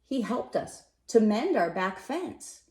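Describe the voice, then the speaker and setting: A woman's voice: fairly high pitch, big pitch swings, slow pace, a little mellow, voice pushed up. A woman voicing fond, concerned neighbours in a storybook, in a roomy recording.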